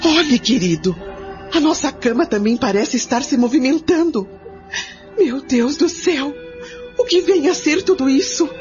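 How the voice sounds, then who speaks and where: High-pitched frightened, anxious wife. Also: female voice, animated, unhurried. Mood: frightened, alarmed. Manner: fretful, pleading. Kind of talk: radio drama acting. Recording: dull recording with music.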